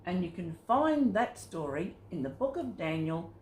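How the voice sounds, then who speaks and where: A woman reading scripted Bible stories to children in a simple, expressive storytelling manner. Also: on the low side, normal speed, a little hiss or hum. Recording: roomy, echoing sound.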